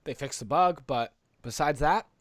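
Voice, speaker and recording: An American man co-hosting a podcast, chatting casually and haltingly, often repeating himself. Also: a little high, no echo, studio quality. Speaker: podcast co-host. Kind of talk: casual podcast chat about news and games.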